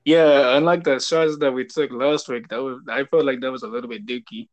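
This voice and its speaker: A man's voice: medium pitch, fairly even intonation, fairly quick. A young man hosting a casual podcast, talking loosely with lots of fillers while his voice keeps cracking. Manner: rambling, filler-heavy, laughing. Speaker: podcast host. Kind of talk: casual chat.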